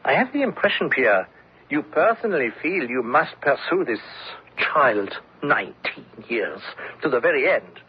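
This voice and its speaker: A thoughtful army lieutenant with a troubled conscience in an old radio play. He reasons earnestly in a very husky voice with lively rises and falls. The sound is muffled and lo-fi. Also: medium pitch, moderate pace. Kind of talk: old-time radio drama acting. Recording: dull lo-fi radio broadcast recording.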